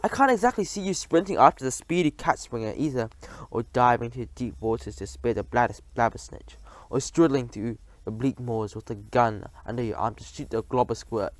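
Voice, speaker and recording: A man's voice: mid-range voice, animated, brisk, no room sound, voice pushed down, natural voice. A man reading a storybook aloud, voicing a cruel, commanding female witch leader who barks and screeches. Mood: scornful. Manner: theatrical, barking and screeching.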